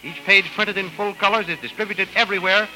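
A man's voice: sits high, fairly even intonation, fast, pushed and bright, soft background music, rough recording. A man narrating a radio adventure serial as announcer, in a dramatic 1930s style.

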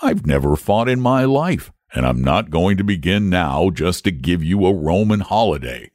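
A man voicing a lazy, gentle and cowardly male dragon who speaks in a languid, solemn drawl in a storybook. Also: deep voice, very animated, normal speed, dry recording, studio quality.

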